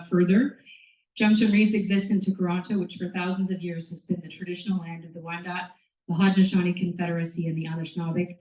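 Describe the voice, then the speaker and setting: Woman host, casual introduction, low voice, distant mic, roomy echo. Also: a little mellow. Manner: casual, reads from notes. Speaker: reading series host. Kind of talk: event introduction. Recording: distant mic in echoey room.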